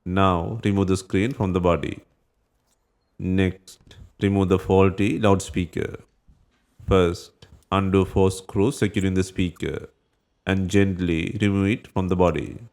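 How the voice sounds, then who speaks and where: A man's voice: sits low, fairly even intonation, unhurried, a little mellow. A man reads scripted instructional narration for a repair tutorial, giving terse step-by-step directions.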